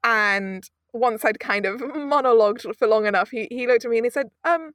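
A young woman from Britain, an audio drama producer telling stories in a casual interview, animated and self-mocking with frequent laughs. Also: a little high, moderate pace, no echo, clean studio sound.

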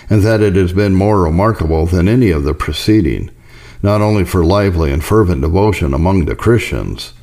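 A man reading a historical religious letter aloud in a formal, solemn, measured manner, as an audiobook narrator. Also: deep voice, warm tone. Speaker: audiobook reader.

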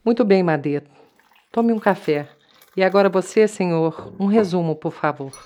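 An actress as a Brazilian woman hosting a strange radio programme in a serial. She is composed and probing, her slightly low voice measured and matter-of-fact. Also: dark tone, muffled recording, lightly acted. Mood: cool, probing. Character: composed, probing woman radio host interviewing lost souls. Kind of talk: radio drama acting.

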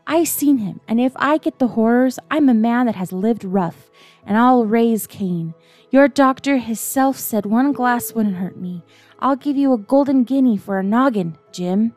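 A woman voicing an ailing old male sea captain who craves rum, pleading and cursing in rough seafaring speech as she reads a bedtime story. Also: on the high side, unhurried, darkish tone, faint music behind, lightly acted. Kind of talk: storybook reading.

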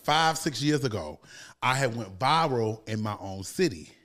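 An American man speaks as a guest in a casual interview. He tells stories in an emphatic, profane way, often repeating a phrase to drive it home. His pitch sits in a middle range for a man. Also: expressive, moderate pace, fairly bright tone. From United States, born in New York, raised in Savannah, Georgia. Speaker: podcast guest, comedian and motivational speaker.

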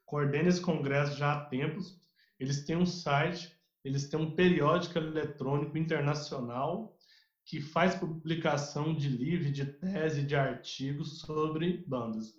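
Animated Brazilian man in an echoey room. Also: on the high side. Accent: Brazilian Portuguese. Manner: animated storyteller, many restarts, mimics others. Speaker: guest, music researcher. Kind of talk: casual podcast interview. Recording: roomy, dull lo-fi audio.

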